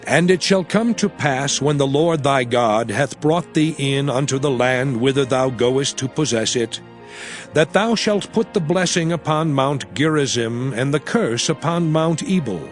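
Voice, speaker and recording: A man's voice: mid-range voice, animated, measured pace, close to an everyday voice. A man playing a grave, commanding prophet addressing his people in a dramatized scripture reading, solemn, declamatory and emphatic. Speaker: Bible narrator. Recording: music behind the voice.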